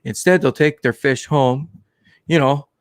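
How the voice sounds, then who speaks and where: A man hosting a live stream about the aquarium hobby, talking casually in a monotone, with many fillers and restarts. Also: a little high, dry recording, clean studio sound. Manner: plain, unhurried talk with many fillers and restarts.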